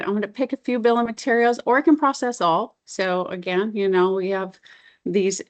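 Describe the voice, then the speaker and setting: A woman with a voice a little lower than most gives a software demonstration. She explains each step conversationally, in an informal and enthusiastic manner, like a consultant training users. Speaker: software consultant presenting new features. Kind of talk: software demo walkthrough, conversational.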